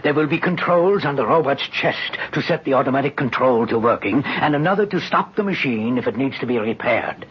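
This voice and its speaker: A man's voice: medium pitch, animated, a little fast, hardly any pauses, husky. An actor playing a proud, commanding inventor and father in an old radio drama, with a formal, emphatic delivery. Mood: assured. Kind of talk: 1950s radio drama acting. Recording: muffled old radio recording, loud music.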